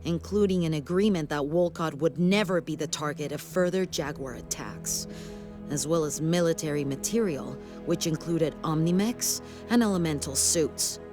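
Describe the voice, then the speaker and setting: An actress playing a stern, authoritative sci-fi military commander in a video game, with formal, clipped briefing delivery. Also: female voice, on the low side, darkish tone, lightly acted. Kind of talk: video game cutscene acting.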